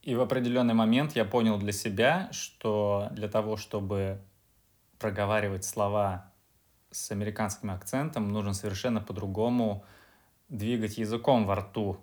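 A man being interviewed as a podcast guest, answering in a measured, explanatory way. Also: fairly low pitch, fairly even intonation, darkish tone. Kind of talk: casual interview.

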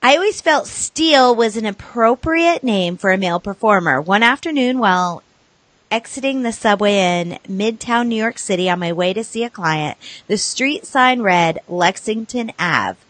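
A woman's voice: medium pitch, lively intonation, normal speed, fairly bright tone, no room sound. A woman co-hosting a casual podcast chat, swearing freely, reading things aloud and laughing with her co-host. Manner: laughing, profane, bantering.

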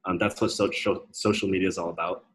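A young man from Denmark talks about his life as a guest in a casual interview. His voice is deep and quite flat. He pauses often with ums and restarts. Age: young adult. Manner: hesitant, many ums and restarts.

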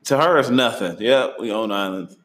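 A man's voice: fairly low pitch, moderate pace. Slangy, laid-back young man hosting. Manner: relaxed, slangy, laughs easily. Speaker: host. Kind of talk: casual travel chat.

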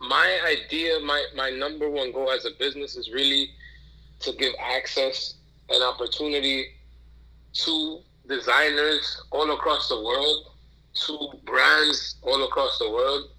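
A man's voice: medium pitch, steady tone, unhurried, bright, forceful tone, poor audio. A man speaking casually as a podcast guest, earnest and emphatic, often repeating phrases and posing rhetorical questions. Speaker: podcast guest, streetwear entrepreneur. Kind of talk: casual interview conversation.

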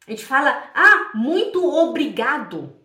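Slow-paced Brazilian woman teaching, echoey room. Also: high-pitched, sing-song, pushed and bright. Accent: Brazilian Portuguese, from Pará. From Belém do Pará, Brazil. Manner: didactic, repeats phrases slowly and deliberately; chatty, checks in with listeners often. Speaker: German language teacher. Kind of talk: informal language lesson. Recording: echoey room, distant from mic.

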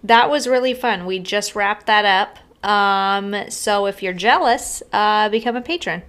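A woman's voice: medium pitch, measured pace, fairly bright tone, smooth. Giggly chatty woman podcast co-host. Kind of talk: casual chat. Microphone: sharing one microphone.

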